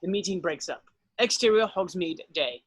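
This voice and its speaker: A woman's voice: on the low side, expressive, normal speed, breathy edge, no room sound. Woman narrator reading stage directions, dry sound.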